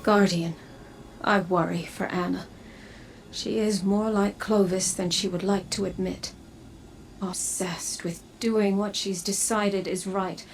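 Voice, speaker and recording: A weary, hushed time-traveler. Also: female voice, mid-range voice, gravelly, slight background noise. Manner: soft, hushed, reflective. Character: weary time-traveling woman, haunted and tender. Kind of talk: video game dialogue.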